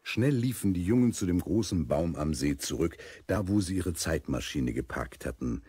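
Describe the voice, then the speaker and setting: A man playing the calm storyteller of a children's adventure audio drama, reading scripted narration. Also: deep voice, normal speed, husky, no room sound, heavily acted.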